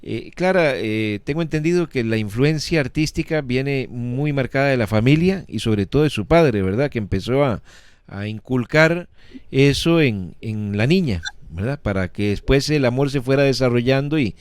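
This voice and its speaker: Smooth, dry-voiced male radio host. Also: mid-range voice, expressive, dry recording. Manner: measured, formal questions with hesitant fillers. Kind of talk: radio interview.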